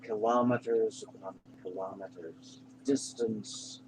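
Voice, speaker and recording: Male meditation guide: very slow, mid-pitched, soothing delivery in short phrases, with a clear background hum. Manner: unhurried, reassuring, pausing between short phrases.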